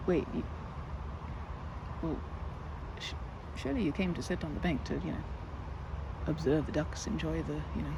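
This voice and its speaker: A woman playing a timid, excitable park regular in an ASMR roleplay, stammering and chattering apologetically in a one-sided conversation. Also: low-pitched, background noise. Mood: shy, chatty. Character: timid, rambling young woman, excitable park regular who loves ducks.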